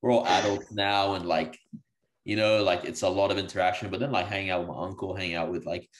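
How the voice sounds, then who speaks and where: A man co-hosting a casual podcast over a video call, talking in a relaxed, slangy way. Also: low voice, fast talker, a little hiss or hum, poor audio. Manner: relaxed, slangy, conversational, says "bro" and "like" often. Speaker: podcast co-host. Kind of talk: casual group discussion.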